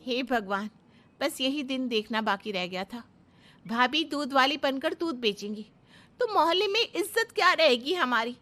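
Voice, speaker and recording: Woman acting a high, sharp, complaining sister-in-law. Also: expressive, moderate pace, soft background music. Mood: fretful. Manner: disdainful, fretful. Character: status-conscious, complaining young city sister-in-law.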